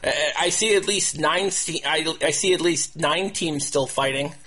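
A man's voice: on the high side, bright, forceful tone, slightly rough. A man hosting a casual sports talk show, repeating himself and restarting phrases as he goes. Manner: halting, repetitive, restarts phrases over and over. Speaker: podcast host.

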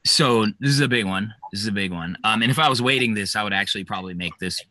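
A man hosting a podcast interview, playful and encouraging, with quick affirmations. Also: lowish voice, sharp tone, no echo. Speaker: podcast host.

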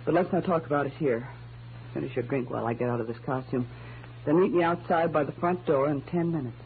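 A woman playing a sultry, sharp-tongued nightclub singer in a 1940s radio drama. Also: sits low, fast, narrow, tinny audio. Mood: cool, teasing. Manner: cool, sardonic. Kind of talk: 1940s radio noir acting. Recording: thin, noisy old radio recording.

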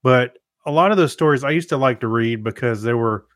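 A man's voice: medium pitch, brisk, fairly bright tone, dry recording, clean studio sound. A man talking informally as a podcast co-host. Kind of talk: casual conversational chat.

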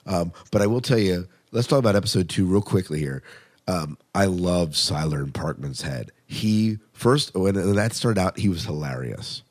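A man's voice: low-pitched, expressive, normal speed. A man hosting a podcast in casual, conversational chat about shows, often putting questions to his co-host.

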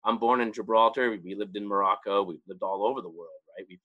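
A man's voice: fairly low pitch, steady tone, brisk, lo-fi sound. A man in his forties hosting an interview in a teasing, conversational way. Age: 40s. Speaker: interviewer host. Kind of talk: casual interview.

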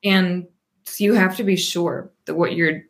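A woman's voice: on the low side, steady tone, unhurried. Thoughtful rambling woman in her thirties. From Pennsylvania, USA. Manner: thoughtful, rambling, frequent ums and restarts. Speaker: guest. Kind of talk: casual interview conversation.

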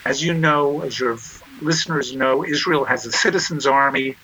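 A man's voice: mid-range voice, normal speed, sharp tone, faint music behind, low-quality recording. A man speaking as an interview guest, earnest and emphatic, often restarting his phrases. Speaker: interview guest, nonprofit chairman.

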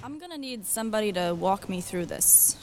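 A woman's voice: mid-range voice, lively intonation, fairly quick, a crowd in the background, natural voice. Woman acting a brash, irreverent young queen. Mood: awkward, cheeky. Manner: blunt, casual, sardonic. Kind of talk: fantasy audio drama acting.

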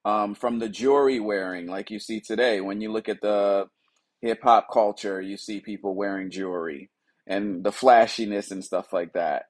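A man with a fairly low voice speaks as an artist presenting his own work. He comes from Liberia and was raised in the United States. His delivery is unscripted and reflective, with emphatic phrases and conversational asides. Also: steady tone, moderate pace. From Liberia, West Africa, raised in the United States. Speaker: contemporary mixed-media artist. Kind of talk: explaining own artwork, unscripted artist talk.

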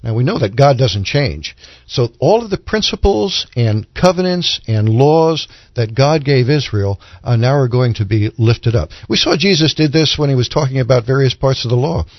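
Man, medium pitch, teacherly and deliberate, religious panel talk, dull dry recording. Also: animated, no room sound. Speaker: panelist on a Bible discussion show. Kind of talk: explanatory religious discussion. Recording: dull, lo-fi audio.

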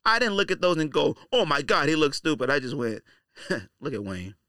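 A man's voice: on the high side, expressive, normal speed, bright, forceful tone, no room sound, studio quality. An American man hosting a podcast solo, talking casually in a slangy, conversational, emphatic way. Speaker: sneaker podcast host.

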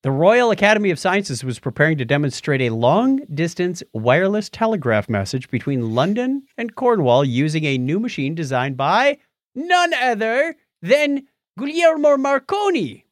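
Animated, higher-voiced man hosting a podcast. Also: normal speed, dry recording, clean studio sound. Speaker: podcast host. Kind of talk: casual banter and reading odd news stories.

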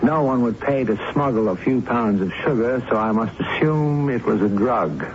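A man's voice: mid-range voice, animated, normal speed, lightly acted. A man playing a dry, evasive, self-righteous drama critic in a 1970s radio drama. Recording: dull, noisy 1970s radio recording.

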